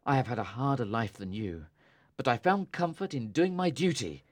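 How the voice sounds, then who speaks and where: A man's voice: medium pitch, animated, moderate pace, natural voice. An actor playing a stern, bitter young man who coldly and harshly accuses his sister, in an audiobook reading. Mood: bitter, angry.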